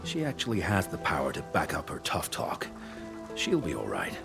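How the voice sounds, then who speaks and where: A man's voice: a bass voice, huge pitch swings, moderate pace, gravelly, exaggerated voice. A male actor playing a blunt, dryly pragmatic, self-assured expert in a video game. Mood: dry reassurance. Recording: game audio over music and rain.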